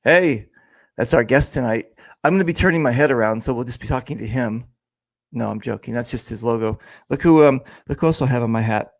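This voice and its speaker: A man's voice: medium pitch, a little fast, dry recording. A man hosting a casual interview show, teasing his guest and rambling with lots of fillers. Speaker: podcast host. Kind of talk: casual joking interview chat.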